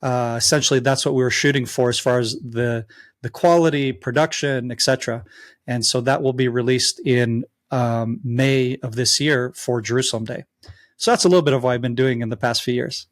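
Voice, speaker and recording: A man in his forties from Israel with an American accent, answering interview questions haltingly with many fillers. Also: mid-range voice, steady tone, no echo, clean studio sound. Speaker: guest.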